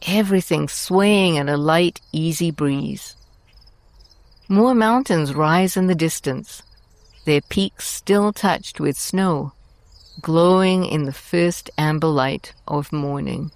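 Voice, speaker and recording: Female meditation guide: low voice, very unhurried, soothing and deliberate, close dry sound. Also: a little mellow, no echo. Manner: soothing, deliberate, with long pauses between short phrases. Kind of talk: scripted guided meditation narration.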